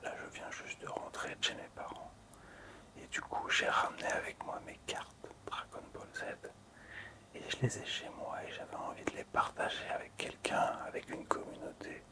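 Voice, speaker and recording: A man speaks entirely in a breathy, unvoiced whisper. He presents items in an ASMR style, soft and hesitant, lingering on words and repeating them. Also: normal speed. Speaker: ASMR video host.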